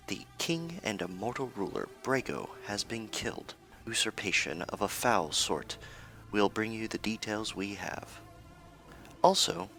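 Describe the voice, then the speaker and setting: A man's voice: lowish voice, sharp tone, exaggerated voice. A man playing an earnest news anchor in a fantasy radio news parody, with a polished, presentational delivery.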